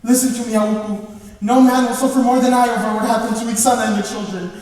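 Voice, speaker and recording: A man's voice: very high-pitched, fairly even intonation, fast, darkish tone. A young man on stage playing a remorseful, pleading Spanish nobleman in a declamatory manner. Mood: anguished, defensive. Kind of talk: stage play acting. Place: large indoor theatre stage, far camera. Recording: echoey theatre stage from far off.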